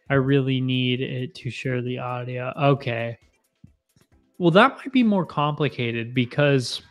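Young male livestream host, rambling and self-deprecating, close boom mic, faint music underneath. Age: 20s. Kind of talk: casual live chat with viewers. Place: home bar with bottle shelves, indoors. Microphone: boom mic in front of face.

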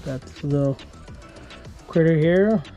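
A man from Minnesota giving relaxed, chuckling commentary while ice fishing inside a small shelter, picked up by an action camera. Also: on the high side, slow pace, dull audio, faint music behind. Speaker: fishing vlogger.

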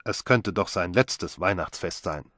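A pompous, respectable husband in middle age, formal and stuffy, stumbling over words when rattled, as a male reader voices him. The tone sits low, recorded close and dry. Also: animated, a little slow, fairly bright tone, dry recording, heavily acted. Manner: formal and stuffy, stammers when caught off guard. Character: stiff, proper middle-aged husband, pompous. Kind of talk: audiobook character voice.

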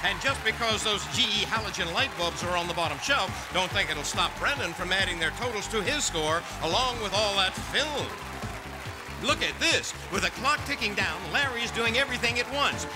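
An American man giving excited, punchy and playful play-by-play commentary as a game show announcer. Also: high voice, moderate pace, low-quality recording. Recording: loud music behind the voice. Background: loud game show music.

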